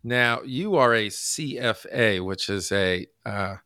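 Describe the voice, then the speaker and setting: A man from the United States hosting a casual podcast interview, halting with many fillers and restarts. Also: fairly low pitch, sharp tone, no echo, studio quality. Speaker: podcast host.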